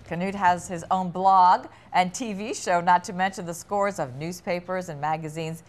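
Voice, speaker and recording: A woman's voice: lowish voice, moderate pace. A woman co-anchoring a newscast, reading scripted news and entertainment items.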